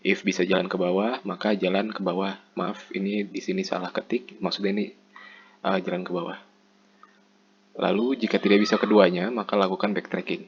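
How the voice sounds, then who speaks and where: A man's voice: deep voice, level tone, a little fast. A young man from Indonesia giving an explanatory student slide presentation in an informal, step-by-step way. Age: young adult. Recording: muffled lo-fi mic with background noise.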